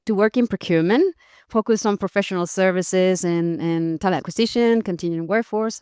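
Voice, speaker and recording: Clear-voiced woman from Costa Rica. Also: medium pitch, darkish tone, no echo, clean studio sound. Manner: friendly, laughs often, frequent fillers and restarts. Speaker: podcast guest. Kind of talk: interview conversation.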